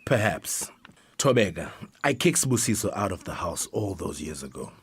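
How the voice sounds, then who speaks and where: Deep, husky, solemn South African pastor. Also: male voice, sing-song, moderate pace, heavily acted. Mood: regretful. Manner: solemn, counselling. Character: measured older male church pastor, remorseful father. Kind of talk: radio drama acting.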